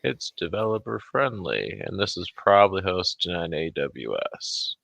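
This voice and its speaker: Deep, gravelly man, blunt and profane. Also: sing-song, measured pace, a bit bright, no echo. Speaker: guest on a livestream talk show. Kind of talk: casual chat.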